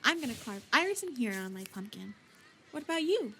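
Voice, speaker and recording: A woman voicing a bubbly, naive young shopkeeper who is excitable, chatty and easily torn between choices in a roleplay voice pack. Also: a little high, quick pace, slight background noise. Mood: excited.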